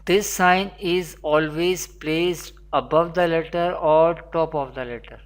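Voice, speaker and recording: A Quran teacher, giving an online lesson in a slow, repetitive teaching manner, with Arabic recitation. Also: mid-range voice, clear, resonant tone.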